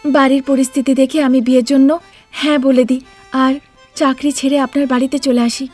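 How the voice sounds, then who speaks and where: A young daughter-in-law in a cartoon with a high voice. She sounds confident and eager, yet respectful to her elders. Music plays behind her. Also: little pitch movement, a little fast, darkish tone. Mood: polite, pleased. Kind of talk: Bengali cartoon voice acting.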